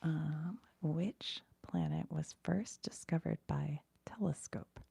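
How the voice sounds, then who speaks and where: Breathy whispering woman with creaky edges. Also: low-pitched, slow pace, dry recording. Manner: hushed, musing aloud. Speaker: ASMR streamer reading trivia questions and viewer comments. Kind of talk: whispered ASMR trivia read-aloud with casual asides.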